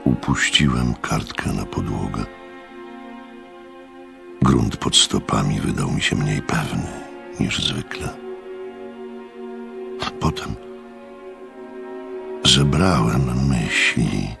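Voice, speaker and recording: Grave male storyteller, extremely low voice, slow deliberate pace, music behind, radio drama. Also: vocal fry, full character voice. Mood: grave, pensive. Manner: measured, deliberate. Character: grave, thoughtful male narrator recounting strange events. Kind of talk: radio drama first-person narration.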